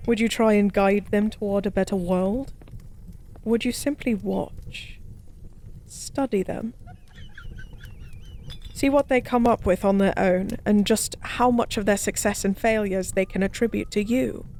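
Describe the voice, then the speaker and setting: A woman playing a forgotten goddess as a tipsy pub stranger in an intimate audio roleplay, speaking softly in slow, wistful philosophical rambles. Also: medium pitch, steady tone, slight background noise, close to an everyday voice. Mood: curious, playful. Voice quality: soft. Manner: slow, musing, rambling, teasingly cryptic.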